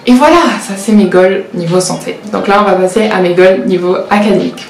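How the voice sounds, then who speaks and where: A woman's voice: medium pitch, brisk, darkish tone, slight background noise. A 19-year-old woman with Portuguese as her first language, chatting candidly in a casual vlog, self-mocking and often hesitating. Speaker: vlogger and law student. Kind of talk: casual confessional vlog chat. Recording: roomy echo.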